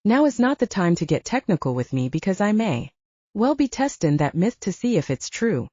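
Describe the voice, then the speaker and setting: A woman's voice: mid-range voice, big pitch swings, moderate pace, mellow, slightly rough, dry recording, dull audio. A woman voicing a hot-headed, foul-mouthed male werewolf soldier who threatens and growls in an audiobook. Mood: furious, threatening. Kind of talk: audiobook character dialogue.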